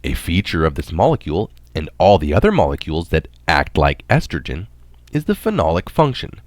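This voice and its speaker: Deep-voiced man reading with lively intonation. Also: brisk, dry recording. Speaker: narrator reading an article aloud. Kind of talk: scripted narration of a science article.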